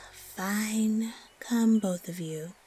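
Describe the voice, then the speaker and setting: A woman playing a haughty, suspicious, disdainful spider monster in a fantasy audio roleplay, with a breathy, often whispery voice. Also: mid-range voice, dark tone, full character voice. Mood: grudging, disdainful. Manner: slow, disdainful, haggling.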